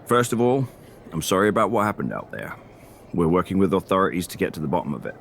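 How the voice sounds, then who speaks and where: A male manager in a video game story. His very low, creaky voice is measured and placating, with faint noise behind it. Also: sing-song, fairly bright tone, full character voice. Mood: reassuring. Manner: measured, placating corporate talk. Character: smooth-talking male wrestling show manager, reassuring, dismissive. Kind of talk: video game cutscene acting.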